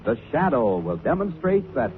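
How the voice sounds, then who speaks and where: A man's voice: medium pitch, very animated, moderate pace. A man making formal, scripted announcements in a declamatory 1940s radio style. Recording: tinny, faintly noisy 1940s radio broadcast.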